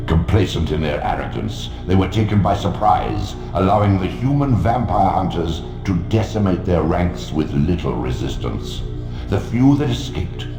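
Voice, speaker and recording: An actor playing an ancient godlike spirit in a video game, grave, stately and formal, with a deep gravelly voice. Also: male voice. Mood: grave, scornful. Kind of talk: scripted game narration. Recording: echoing, music underneath.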